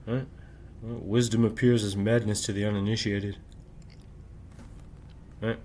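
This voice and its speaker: A man with a low-set voice speaks in a loose, rambling late-night monologue and keeps checking in with a repeated "right?". He holds the volume down at home, and a faint hiss of room noise sits behind him. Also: little pitch movement, unhurried, a little mellow. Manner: keeps voice down while family sleeps. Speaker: channel host.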